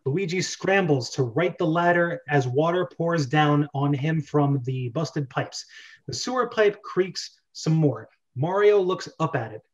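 Guy hosting a script reading, slightly high voice, reads directions n chats, muffled webcam audio. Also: animated, unhurried. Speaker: host and narrator of a script read-through. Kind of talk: reading screenplay directions amid casual chat. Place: bedroom at home.

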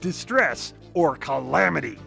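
A sinister cartoon villain, gleeful and theatrical. The voice is sharp and creaky, swinging widely in pitch. Every word is drawn out with wicked relish. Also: male voice, heavily acted. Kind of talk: theatrical dictionary reading.

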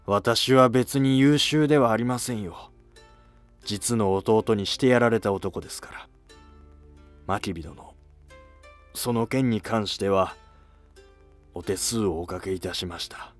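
A man plays a retired male aristocrat of an ancient court, modest and reflective. His formal speech is calm, low and very slow, for an animated history drama with music behind it.

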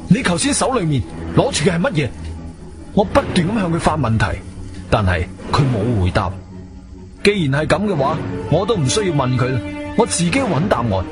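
A man with a Cantonese accent acting a shrewd, firm investigator hero who narrates his own adventure in an expressive audio drama style. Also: a little high, moderate pace. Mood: firm, demanding. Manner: expressive, emphatic storytelling. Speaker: narrator and lead actor. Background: background music.

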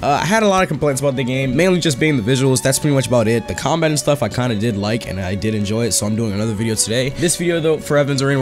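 A young man giving casual, enthusiastic gameplay commentary in an informal way, his voice slightly worn from being sick. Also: medium pitch. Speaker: gaming YouTuber. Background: music under the voice.

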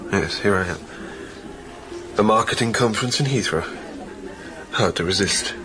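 A man's voice: on the low side, normal speed, music in the background, lightly acted, low-quality recording. A man playing an evasive, tired married businessman, hesitant and dryly polite, in a radio drama. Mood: awkward, evasive. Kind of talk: naturalistic radio drama acting.

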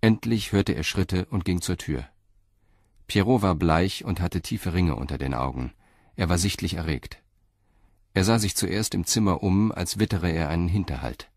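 Very deep-voiced male narrator. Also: moderate pace, no room sound, clean studio sound. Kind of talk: scripted narration of a radio crime drama.

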